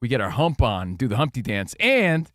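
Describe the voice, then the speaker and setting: A man co-hosting a sports radio show, talking in an energetic, jokey way about baseball and pop culture. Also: medium pitch, normal speed, dry recording, clean studio sound. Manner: energetic, hyped, jokey rhymes. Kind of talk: casual sports talk show banter.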